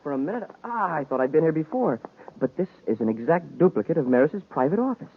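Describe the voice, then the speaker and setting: An actor playing an eager, curious young space cadet, boyish and deferential, in a 1950s radio serial. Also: male voice, a little high, very animated, quick pace, gravelly, slight background noise. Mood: curious, excited. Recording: muffled vintage radio recording.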